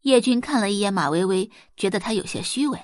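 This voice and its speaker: Woman audiobook narrator for serial fiction; dramatised delivery, lively pitch movement, dry studio-like sound. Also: quick pace, no echo. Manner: expressive, dramatised storytelling. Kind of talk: scripted audiobook narration of a web novel.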